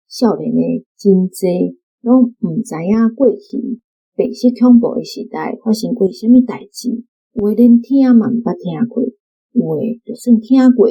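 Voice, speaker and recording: Female book club reader, Taiwanese accent, mellow low-brightness tone, slow delivery of a scripted essay. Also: husky, dull audio. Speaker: book club member giving reading reflections. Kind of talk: scripted spoken essay for radio.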